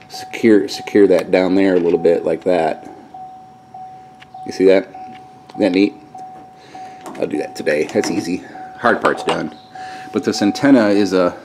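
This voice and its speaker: A middle-aged man giving a casual hands-on walkthrough in a folksy, colloquial way, recorded on a handheld camera. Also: low-pitched. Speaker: DIY vlogger. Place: in and around a pickup truck at a garage. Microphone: handheld camera mic. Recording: noisy handheld camcorder audio.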